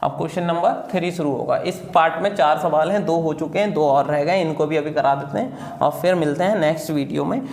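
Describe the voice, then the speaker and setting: A man's voice: fairly high pitch, fairly quick, creaky, few pauses, a little mellow. A man teaching step by step in an informal way, often repeating phrases and posing questions that he answers himself. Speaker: maths teacher. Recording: echoey room.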